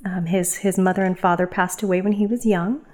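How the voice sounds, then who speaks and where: A middle-aged woman from New York speaks as a podcast guest, her voice sitting a little low. She tells her story in an unhurried, reflective way. She circles back often, with plenty of fillers and self-corrections. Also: steady tone, darkish tone. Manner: reflective, meandering, many fillers and restarts. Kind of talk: casual conversational storytelling.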